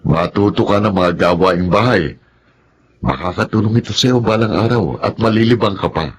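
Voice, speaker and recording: A man acts as a homely father figure with a fairly low voice. He is kindly and attentive in a radio drama style, with faint noise in the background. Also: normal speed, close to an everyday voice. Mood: approving. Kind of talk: Filipino radio drama acting.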